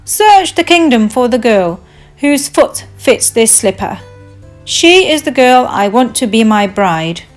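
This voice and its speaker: A woman's voice: fairly high pitch, sing-song, measured pace, soft background music. A woman voicing an earnest, lovestruck young prince in a fairy tale, in a commanding manner. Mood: earnest, resolute.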